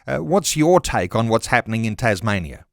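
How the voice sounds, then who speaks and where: A man hosting a current affairs radio interview in a measured, conversational way. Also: lowish voice, big pitch swings, gravelly, dry recording, clean studio sound.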